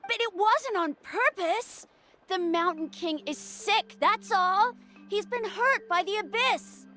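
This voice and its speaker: A high, slow-speaking gentle girl. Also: animated, faint music behind, heavily acted. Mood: pleading. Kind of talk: video game dialogue.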